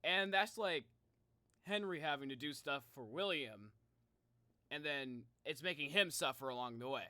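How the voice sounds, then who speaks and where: A man's voice: on the high side, normal speed, bright, forceful tone, no room sound. A man doing casual, rambling livestream commentary as he reacts to game cutscenes in an informal way.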